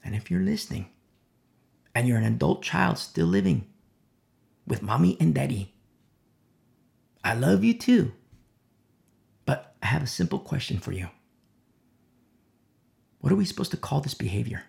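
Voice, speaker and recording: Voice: medium pitch, big pitch swings, moderate pace, creaky, long pauses, a little mellow. A preacher delivering a sermon, with rhetorical questions and a repeated "you see?".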